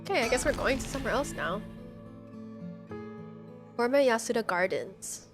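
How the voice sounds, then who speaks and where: A woman doing live game commentary as a streamer, slangy and animated, reading on-screen text aloud. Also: on the high side, normal speed, soft background music. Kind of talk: live playthrough commentary with dialogue read aloud.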